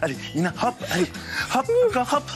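Male TV fitness coach, 30s, lively swings in pitch, encouraging and playful, music behind. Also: a little fast. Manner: physically active while talking. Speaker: fitness coach on a TV parenting show. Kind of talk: demonstrating exercises to a mother and young child. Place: living-room style TV studio.

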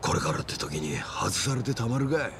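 An actor playing a fiery, loyal yakuza underling in a game, rough-spoken and emotional, with a strained gravelly voice. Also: male voice, mid-range voice, big pitch swings, brisk, noisy background. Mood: defiant.

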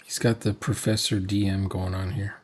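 A man's voice: low-pitched, little pitch movement, moderate pace, slightly rough. A man in his sixties giving casual, unscripted reaction commentary in a sarcastic, grumbling way. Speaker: reaction video host. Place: small cluttered room at home. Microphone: desk mic, unfiltered.

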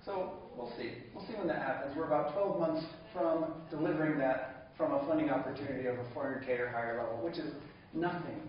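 Man, keynote speaker, enthusiastic, fairly high voice, heavy hall echo, distant lo-fi recording. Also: a little fast. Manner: enthusiastic, laughs along with the audience. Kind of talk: conference keynote speech. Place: large conference hall.

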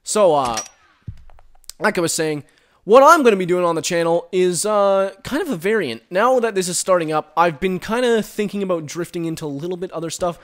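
A man giving casual, rambling commentary while playing a game, recorded on a headset and reacting loudly to the action. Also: sits high, lively intonation, a little fast. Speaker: gaming commentator.

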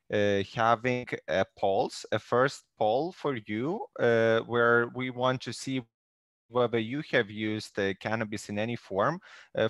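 Man, low voice, dry sound, headset mic, halting webinar moderator. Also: a bit bright, no room sound. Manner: halting, many "uh" fillers. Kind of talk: online panel moderation. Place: video call.

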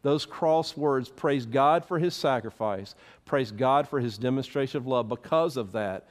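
A man's voice: medium pitch, measured pace. A middle-aged man preaching from a church stage, fervent and emphatic, wearing a headset mic. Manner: fervent preaching with rising emphasis, stands and gestures. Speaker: pastor. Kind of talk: sermon and closing prayer.

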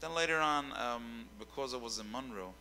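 A bright, clear male voice with a long hall reverb around it. He is a young singer telling his story on stage into a handheld mic. He talks loosely and repeats words as he finds them. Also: medium pitch, normal speed, a little hiss or hum. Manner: rambling, repeats words while searching. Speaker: guest singer. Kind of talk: casual interview on stage. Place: dark stage with keyboard.

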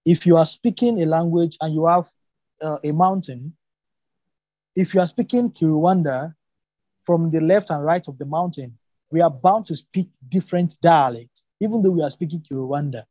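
High-pitched man, native Yoruba speaker. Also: dark tone, dry recording, muffled recording. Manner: emphatic, repeats and rephrases, poses rhetorical questions. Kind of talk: spontaneous academic discussion.

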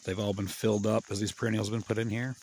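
A man in his fifties with a low voice ambles through a wooded hillside garden and talks in a relaxed, plain-spoken way. He holds a phone out at arm's length as he walks. A faint outdoor hiss sits under his voice. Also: very fast. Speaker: permaculture farmer. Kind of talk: casual walking garden tour. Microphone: phone held at arm's length.

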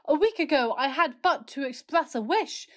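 A woman's voice: sits high, lively intonation, moderate pace, fairly bright tone. A woman playing a domineering, ominous Victorian rival in a farcical radio play, with mannered, declamatory speech. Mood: challenging.

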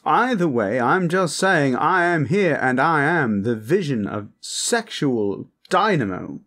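A man's voice: on the high side, very animated, fairly bright tone, heavily acted. A man voicing a lecherous, flamboyant vampire protector in a comedic audio roleplay, smug, pompous and suggestive. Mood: suggestive, coaxing. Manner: theatrical, drawn-out, suggestive, pompous. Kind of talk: comedic character roleplay monologue, talking to the listener.

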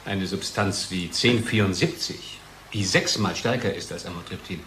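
A man's voice: low voice, moderate pace, fairly bright tone. Male research manager, radio play: sardonic, emphatic, roomy sound with slight noise. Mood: sardonic, indignant.

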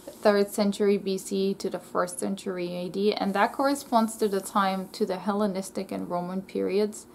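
A young woman from Israel vlogs from her small bedroom, reading notes with plenty of ums and quick self-corrections. Her mid-range voice carries a gravelly edge, and the webcam mic picks up faint room noise. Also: medium pitch, flat delivery. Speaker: language vlogger. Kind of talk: casual educational vlog. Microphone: laptop webcam mic.

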